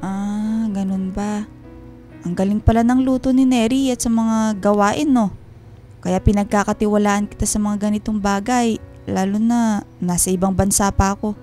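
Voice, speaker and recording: A woman's voice: medium pitch, fairly even intonation, moderate pace, warm tone, lightly acted. Woman acting homesick, guarded wife, music behind. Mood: guarded, suspicious. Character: worried, homesick wife and mother working abroad, quietly suspicious. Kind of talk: Filipino radio drama acting.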